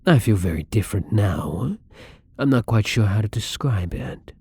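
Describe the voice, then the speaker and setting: A man acts out a gentle fledgling vampire for an ASMR roleplay, hungry yet apologetic. The voice is very breathy and mellow, close and dry, often sinking to a whisper. He feels his way through each polite, hesitant phrase. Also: fairly low pitch, expressive, no echo, exaggerated voice. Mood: earnest, wondering. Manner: hesitant, halting, polite, soft-spoken. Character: shy, gentle, newly turned male vampire, apologetic and hungry. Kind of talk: intimate ASMR roleplay acting.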